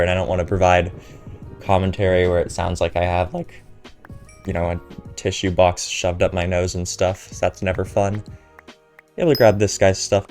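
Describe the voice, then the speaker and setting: Young man, stuffy and congested, deep low voice, relaxed rambling game commentary with plenty of ums, background music. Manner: casual, filler-heavy, chatty. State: stuffy nose from a cold. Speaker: gaming commentator. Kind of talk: recorded gameplay voiceover commentary.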